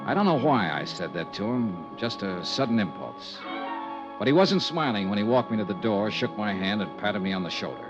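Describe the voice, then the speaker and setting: Dry wry hard-boiled investigator, voice swings a lot, old muffled radio w faint music. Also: male voice, on the low side, very animated, normal speed. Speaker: narrator and lead. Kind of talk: 1950s radio drama acting and narration.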